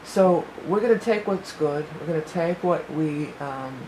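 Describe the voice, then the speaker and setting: A woman's voice: low-pitched, unhurried, darkish tone, rough recording. Woman, psychic astrology reader, slightly worn voice, rambling, lots of fillers, faint background hiss. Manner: rambling, thinks aloud with many fillers. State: losing her voice a little. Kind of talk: casual spoken astrology forecast.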